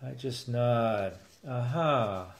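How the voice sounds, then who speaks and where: A man's voice: mid-range voice, very slow. A man in his sixties narrating a first-person story and acting out its dialogue as a grandfather.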